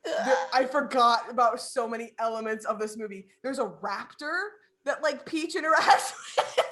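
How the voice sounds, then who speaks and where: A young woman chatting casually on a podcast, her voice sitting a little high. She rambles through stories with plenty of fillers and breaks into giggles often. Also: normal speed, a bit bright. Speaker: podcast co-host. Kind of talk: casual chat.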